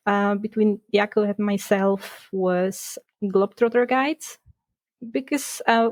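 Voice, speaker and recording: A young woman from Poland, a game designer, talking casually in a podcast interview, chatty and quick to laugh. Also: mid-range voice, darkish tone, dry recording. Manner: chatty, laughs between points, restarts words.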